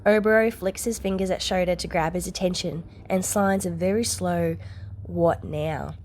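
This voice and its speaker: A woman narrates a story in a slightly low voice. It is a scripted reading of fiction, told in the third person. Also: fairly even intonation, moderate pace, darkish tone, a little hiss or hum. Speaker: podfic narrator.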